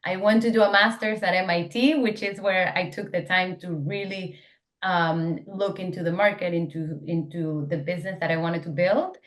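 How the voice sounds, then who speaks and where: A woman's voice: fairly low pitch, steady tone, normal speed. A young woman from Venezuela, a startup founder, answering interview questions in an eager, talkative way with many fillers and restarts. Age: young adult.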